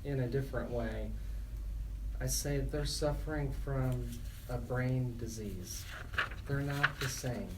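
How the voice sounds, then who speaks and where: A man hosting a radio show, talking in a conversational storytelling way with a measured, halting delivery. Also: medium pitch. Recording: distant mic, faint noise.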